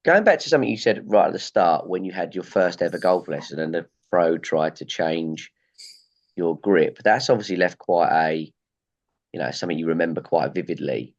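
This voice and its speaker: A man's voice: low voice, moderate pace, highs cut off. A man hosting a golf interview, asking long rambling questions with hesitant restarts. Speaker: podcast host.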